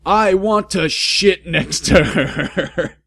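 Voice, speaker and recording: A man voicing a hot-headed teenage goth wizard boy, loud, sweary and overwrought in a comic story reading. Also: high-pitched, drawn-out words, voice pushed up, full character voice. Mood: furious.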